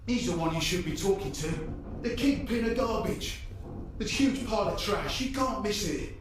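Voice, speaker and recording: Brash high-pitched small-time crook, echoey. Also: male voice, animated, normal speed. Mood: pleading, evasive. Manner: brash, foul-mouthed, defensive. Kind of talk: video game cutscene acting. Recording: echoey, distant, noisy game cutscene audio.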